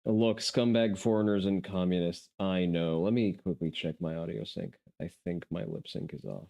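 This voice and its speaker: A man's voice: low-pitched, moderate pace, vocal fry, dark tone. Sarcastic, foul-mouthed male streamer. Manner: profane, sarcastic ranting. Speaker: streamer reacting to videos. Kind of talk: casual chat stream commentary.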